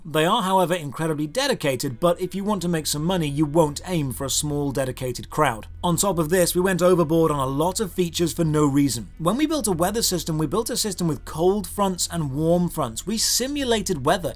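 A man narrating a scripted video game review in a sardonic, exasperated, emphatic way. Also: high-pitched.

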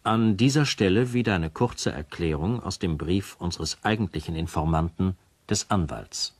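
An actor playing a formal presenter who narrates between case documents in a radio play. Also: male voice, sits low, normal speed, dry recording. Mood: formal, weighty.

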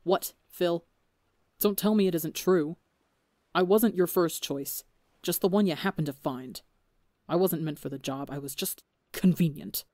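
Reader doing a bitter young pirate, accusing, raised voice, dry sound, mid pitch. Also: expressive, fairly quick, hardly any pauses, dark tone, no room sound, lightly acted. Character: bitter resentful young male pirate crewman.

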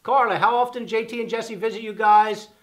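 A man's voice: high voice, steady tone, a little fast, a bit bright, dull audio. A man in his fifties chatting casually in a living room, reading fan questions aloud with many fillers and restarts. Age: 50s. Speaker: channel host answering fan questions. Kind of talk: casual chat. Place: living room by a fireplace, sitting on the floor.

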